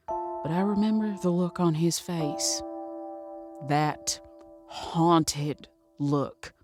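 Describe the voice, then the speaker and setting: An actress playing a weary, lonely woman prisoner, reflective and brooding, in an audio drama. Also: low voice. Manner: slow, reflective, weary delivery. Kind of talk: dramatic monologue and dialogue in fiction podcast.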